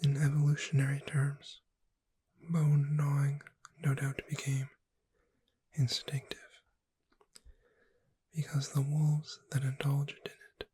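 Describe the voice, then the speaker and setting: A woman whispering in a soothing, deliberate ASMR style, reading aloud to lull a listener to sleep. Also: deep voice, completely flat delivery, dark tone, slightly rough, no room sound. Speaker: ASMR performer.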